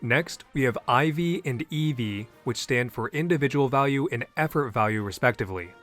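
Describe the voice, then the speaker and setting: A man's voice: medium pitch, animated, normal speed, a bit bright, faint music behind. A man hosting a scripted explainer video, earnest and opinionated, with a native English voice. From half Japanese. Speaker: YouTube host.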